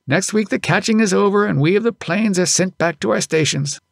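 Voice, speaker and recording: A man's voice: sits high, brisk, vocal fry, no room sound, studio quality, pitched above the performer's own voice. A man voicing a proud, grumbling middle-aged elephant driver who scolds in archaic speech for an audiobook. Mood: indignant scolding. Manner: indignant, archaic thee-and-thou speech. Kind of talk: audiobook character voice.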